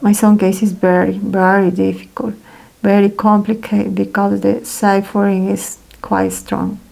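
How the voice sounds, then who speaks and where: A woman reading a translated first-person testimony aloud as a voice-over, in a careful, halting way with pauses. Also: mid-range voice, level tone, vocal fry, darkish tone, low-quality recording.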